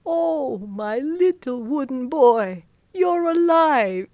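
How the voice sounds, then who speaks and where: A woman plays a doting old storybook father. The voice is emphatic and fond, swinging widely in pitch, with a very dry sound. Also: expressive, slow pace, darkish tone, no room sound. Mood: overjoyed.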